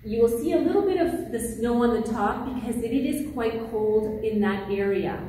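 A woman from Ecuador explains her home country to children in a careful, plain way, sometimes restarting her words. Her voice is warm and a little high. She is recorded from far off in a large hall with heavy echo. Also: expressive, normal speed, slight background noise. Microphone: far camera.

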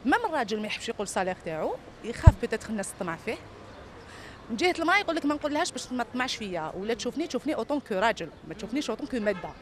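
An Algerian woman answering casually on the street. She speaks very fast with lively, swinging intonation and drops in French words, with clear street noise around her. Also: sits high. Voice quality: frequent French words mixed in. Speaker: passer-by being interviewed. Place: outdoors on a busy city street. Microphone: reporter's handheld microphone.

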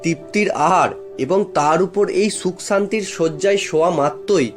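A male storyteller with a fairly high voice reads scripted horror narration for a cartoon. Prominent music plays behind him. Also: moderate pace.

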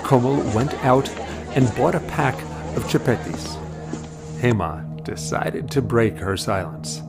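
A man's voice: mid-range voice, expressive, a little slow, gravelly, loud music behind the voice, over a noisy crowd. A man narrating an audio drama as a scripted story.

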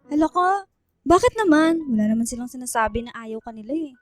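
High, plaintive young Filipina girlfriend. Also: lively intonation, a little fast, dry recording, faint music behind. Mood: worried, pleading. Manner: plaintive, hesitant, pouty. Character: hurt young student girlfriend, meek but questioning. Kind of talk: Filipino radio drama acting.

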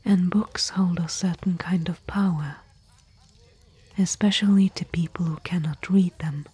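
Breathy, whispering bookish woman traveler, acted by a woman. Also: lowish voice, steady tone. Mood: gentle, musing. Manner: gentle, musing, deliberate. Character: thoughtful bookish female desert expedition companion. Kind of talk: soft-spoken ASMR roleplay.

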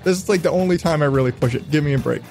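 A man's voice: fairly high pitch, rapid-fire, gravelly, darkish tone, faint music behind. A man hosting a podcast in casual, profane chat, rambling along with irreverent, self-mocking asides. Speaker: podcast host.

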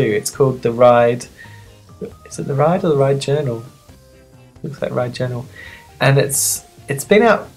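A sniffly magazine reviewer of medium-pitched voice chatting casually off the cuff, rambling, playful and self-deprecating. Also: a little mellow. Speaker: magazine reviewer and video host.

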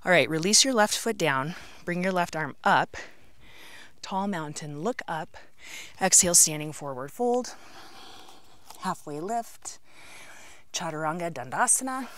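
A middle-aged woman with a slightly low voice guides a yoga class. She gives instructions in short, measured phrases with pauses between them, calling out each pose and breath as she moves through it herself. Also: animated, fairly bright tone. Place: outdoors on an open rocky canyon rim.

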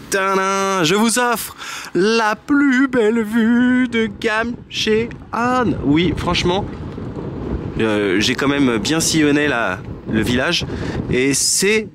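A man's voice: high-pitched, lively intonation, takes it slowly, fairly bright tone, slight background noise. A man in his thirties chatting enthusiastically to viewers as a travel vlogger, filming himself at arm's length in a small restaurant. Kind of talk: casual travel vlog. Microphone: handheld camera at arm's length. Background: background music.

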